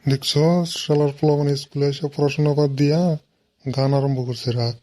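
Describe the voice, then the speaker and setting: Guy doing a strict sarcastic village teacher, really muffled-dark mellow voice, eastern bengali accent, cartoon comedy. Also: on the high side, steady tone, a little fast, a little hiss or hum, lightly acted. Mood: sarcastic scolding. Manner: sarcastic, scolding. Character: stern, sarcastic male village schoolteacher. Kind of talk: comic cartoon dialogue.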